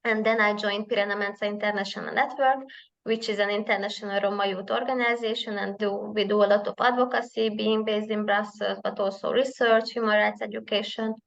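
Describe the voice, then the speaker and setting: A woman's voice: mid-range voice, little pitch movement, a little fast, creaky. A woman in her thirties from Hungary, opening her webinar presentation over a video call with a friendly manner and a few filler sounds. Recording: slightly dull lo-fi call audio.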